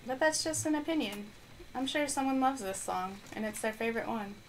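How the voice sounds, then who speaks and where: A woman's voice: fairly high pitch, animated, a little fast, slight background noise, rough recording. A woman streaming a video game live, chatting casually as she thinks aloud and responds to viewers, with her microphone placed on the floor. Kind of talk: live gameplay stream commentary.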